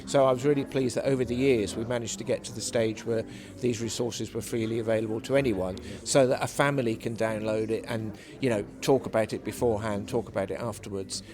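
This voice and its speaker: Measured man interviewed amid background chatter. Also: lowish voice, steady tone. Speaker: panellist, academic interviewee. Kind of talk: conversational interview answers. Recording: busy venue, people chattering behind. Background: crowd chatter.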